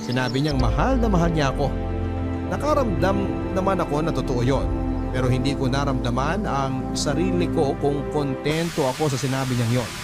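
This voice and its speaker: A man's voice: mid-range voice, moderate pace. A man narrating a scripted love story in a dramatic storytelling style. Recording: loud music bed under the voice. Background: loud music.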